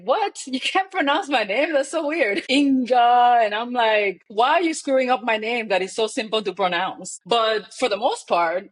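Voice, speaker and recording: A woman with a Dominican accent chats as an interview guest. Her telling is animated, and she jumps into the voices of the people she quotes. She laughs often as she talks. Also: mid-range voice. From Dominican Republic. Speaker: guest, real estate founder. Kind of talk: conversational interview.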